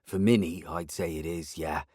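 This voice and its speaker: A man's voice: sits low, fairly even intonation, moderate pace, dark tone, dry recording, clean studio sound. A man voicing a scruffy middle-aged male drifter with a coarse English accent and an offhand, casual manner.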